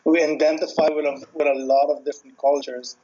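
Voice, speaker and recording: A man's voice: on the high side, little pitch movement, brisk, dark tone, highs cut off. A man from Aruba who grew up multilingual, giving matter-of-fact interview answers.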